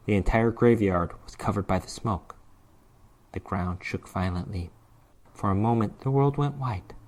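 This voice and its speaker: A man's voice: lowish voice, a little mellow. A man narrating a fantasy horror short story as a dramatic reading, with theatrical, emphatic delivery.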